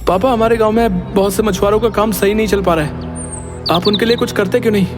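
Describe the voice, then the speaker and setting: Man doing a high-pitched timid village boy. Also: fairly even intonation, quick pace, over music, natural voice. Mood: pleading. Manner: whiny, fretful protesting. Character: timid, panicky village boy, the chief's son.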